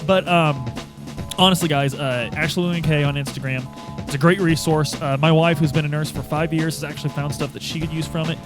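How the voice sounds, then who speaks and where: A man co-hosting a roleplay podcast as a player, talking casually in a laid-back, halting way. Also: fairly high pitch, fairly even intonation, music in the background. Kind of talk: casual chat.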